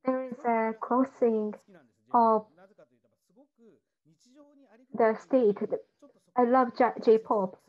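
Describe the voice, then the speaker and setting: A woman doing simultaneous interpretation on an online call, talking haltingly with frequent fillers and restarts. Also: fairly high pitch. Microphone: video call. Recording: muffled video-call audio.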